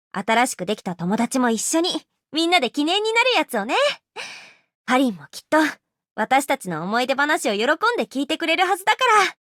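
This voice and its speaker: A woman's voice: sits high, fairly bright tone, dry recording, clean studio sound. A woman playing an eager, friendly, cheerful young woman in an anime game, chatty in manner. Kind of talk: scripted anime game character greeting.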